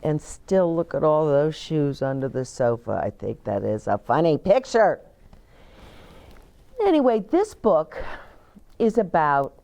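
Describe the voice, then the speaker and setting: An older woman reading a picture book aloud and speaking deliberately and simply, as if to small children. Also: low voice, darkish tone. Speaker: children's storytime reader and host. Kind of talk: expressive picture-book read-aloud with asides to young children. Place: indoor bookshop-like set with shelves, seated in armchair.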